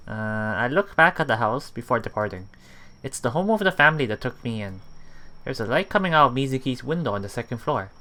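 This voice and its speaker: Man, let's play host, lively pitch swings, bright tone, halting read-aloud with repeats and casual asides. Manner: halting, often repeating and correcting words. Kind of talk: reading a visual novel aloud with casual commentary.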